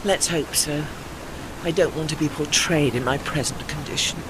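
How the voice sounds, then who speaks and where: A woman's voice: sits low, moderate pace, background noise, natural voice. A woman playing a proud, worn old female warship with formal, dignified speech in an audio drama. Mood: anxious, wounded pride.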